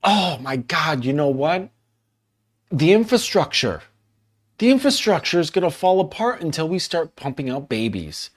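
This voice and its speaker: Brief: man doing a breathless, lovestruck young woman, higher and lilting, swooning thanks. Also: sing-song, moderate pace. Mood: mock panic. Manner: breathless, over-the-top gushing. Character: gushing smitten young woman, mock-grateful.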